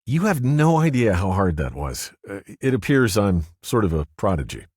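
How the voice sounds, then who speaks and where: Man doing a feisty ghost woman, ex-gym teacher, raised pitch, teasing and quick, husky edge, dry close read. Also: very animated, dry recording. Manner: teasing, quick, sharp-tongued. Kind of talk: audiobook character voice.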